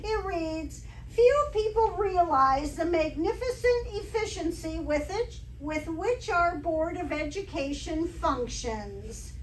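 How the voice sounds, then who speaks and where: A woman playing a wry, quick-witted female schoolteacher in an old-time radio comedy performed on stage. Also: very high-pitched, expressive, heavily acted. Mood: flustered, formal. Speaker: amateur stage actor. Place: live stage performance in a hall. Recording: echoey hall, distant mic, faint noise.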